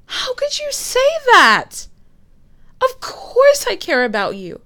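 A woman's voice: high voice, big pitch swings, unhurried, a bit bright, exaggerated voice. A young woman voicing a frustrated girlfriend who swears in exasperated outbursts while fighting with her partner. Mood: angry. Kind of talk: one-sided roleplay audio.

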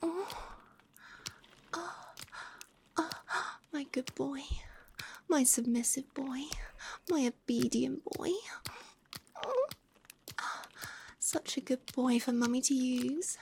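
Woman, soft breathy whisper, high voice with a gravelly edge, slow unhurried delivery, close mic, faint hiss. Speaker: audio roleplay performer. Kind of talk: intimate whispered roleplay ASMR. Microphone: close microphone.

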